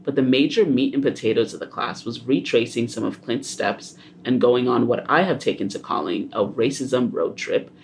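A young man from Haiti hosting a student podcast, talking in a relaxed way with small laughs and fillers. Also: medium pitch, moderate pace, gravelly, hardly any pauses, slight background noise. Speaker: podcast host.

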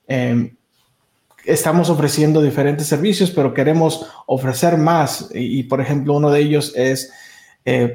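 Mellow-voiced man answering interview questions. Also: mid-range voice, reverberant. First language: Spanish. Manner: thoughtful, with frequent restarts and fillers. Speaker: guest, media business owner.

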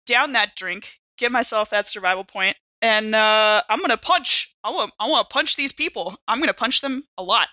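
A woman's voice: fairly high pitch, moderate pace, few pauses, bright, forceful tone, no room sound. A woman chatting casually and improvising as a player in a tabletop roleplaying game.

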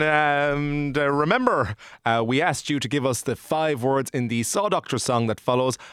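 A man's voice: medium pitch, a bit bright, clean tone, no room sound, natural voice. Man acting eager Irish radio sidekick, close and dry. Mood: hesitant. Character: male radio sidekick, deferential, eager, obliging. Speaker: radio co-host. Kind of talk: comedy radio play, reading listener messages.

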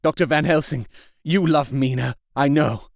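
A man's voice: fairly high pitch, normal speed, gravelly, dry recording, studio quality. A man voicing a desperate, horrified husband in a gothic audiobook, crying out urgently and begging for help. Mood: desperate pleading. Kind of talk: dramatic gothic audiobook character voice.

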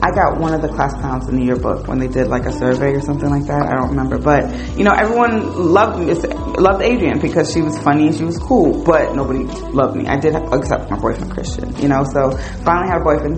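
A woman's voice: low-pitched, rough recording. A woman in her forties from New Jersey, hosting a podcast and telling a personal story in a candid, conversational way with lots of fillers. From New Jersey, United States. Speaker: podcast host. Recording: music playing behind the voice.

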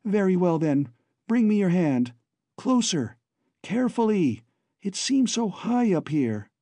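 A man's voice: sits high, animated, a little slow, mellow, husky, no room sound, voice pushed up, exaggerated voice. A man putting on a frightened, breathless young woman. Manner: pleading, breathless.

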